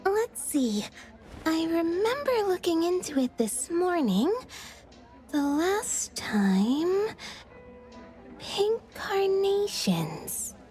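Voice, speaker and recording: A woman's voice: very high voice, sing-song, extremely slow, mellow, soft background music, full character voice. A woman voicing a sweet, helpful flower shop clerk in a video game, chirpy and obliging.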